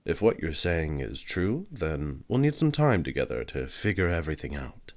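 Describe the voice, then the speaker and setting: A man's voice: extremely low pitch, sing-song, moderate pace, a little mellow, exaggerated voice. A man voicing a skeptical, blunt male scholar in an ASMR audio drama, with a dry, questioning manner. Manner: dry, questioning, matter-of-fact.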